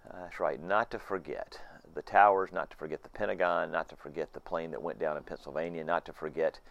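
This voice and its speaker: A man in his late fifties, a pastor, giving an informal, earnest sermon from his screened porch, his voice hoarse. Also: very deep voice, fairly even intonation, brisk, highs cut off. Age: 50s. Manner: earnest, reflective, with frequent restarts.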